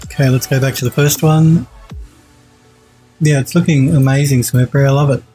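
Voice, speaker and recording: Man, 40s, stuffed-up nasal head cold, warm-toned enthusiastic streamer, faint music behind. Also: medium pitch, steady tone, moderate pace. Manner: enthusiastic, repeats praise, restarts. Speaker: 3D art streamer reviewing viewer work. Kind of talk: casual live-stream critique. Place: home streaming room, indoors.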